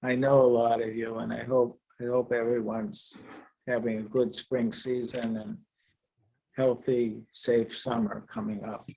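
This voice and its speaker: A man presenting at a virtual conference and answering questions, halting with many fillers. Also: medium pitch, level tone, gravelly, warm tone, poor audio. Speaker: presenter, product seller. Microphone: video call.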